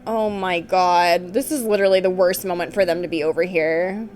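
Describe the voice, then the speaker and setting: A woman's voice: on the low side, steady tone, moderate pace, darkish tone. A woman game streamer giving casual, exclamatory live reactions as she plays. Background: game music.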